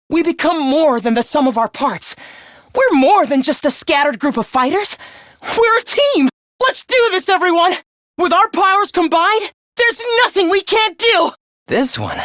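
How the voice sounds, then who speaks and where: Earnest anime game schoolboy leader, youngish high voice, super animated, really dry studio sound. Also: male voice, no echo. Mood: rousing, determined, then gentle, subdued. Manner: earnest, expressive, polite. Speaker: video game voice actor. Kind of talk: animated game voice lines, anime-style dub acting.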